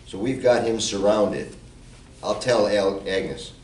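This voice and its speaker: A man plays a steady, armed ally in an amateur radio drama. His voice is fairly low. It is recorded from a distance in a roomy space with faint hiss. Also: slow pace. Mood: resolute. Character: steady armed male ally of undercover cop. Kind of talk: amateur radio play acting.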